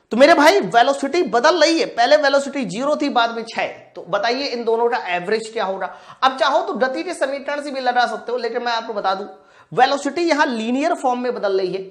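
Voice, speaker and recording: A woman teaching an exam-prep lesson step by step, asking questions and answering them herself while addressing students informally. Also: high-pitched. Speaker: physics teacher for exam preparation. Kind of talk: live lesson, working through practice questions step by step.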